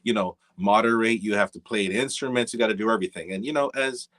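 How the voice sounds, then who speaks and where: Easygoing Jamaican-accented man, lower voice. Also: quick pace, a bit bright. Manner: easygoing, rambling, with many fillers and restarts. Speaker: guest jazz musician. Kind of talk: casual interview chat.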